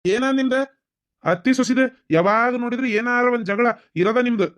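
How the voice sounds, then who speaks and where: A man's voice: very high voice, moderate pace, a bit bright. A man voicing an exasperated grown village son in a cartoon, firing off reproachful questions with a North Karnataka accent. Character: exasperated grown village son caught between bickering women. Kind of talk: village comedy cartoon dialogue.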